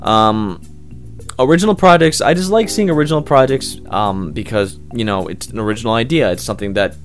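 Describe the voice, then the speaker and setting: A man's voice: mid-range voice, animated, fairly quick, soft background music. A young man, a hobby voice actor, answering interview questions in a loose, rambling way, full of fillers and restarts.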